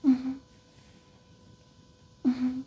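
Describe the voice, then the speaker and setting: A woman whispering as a patient in an ASMR exam roleplay, answering quietly with soft murmurs. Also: sits high, monotone, extremely slow, noisy background.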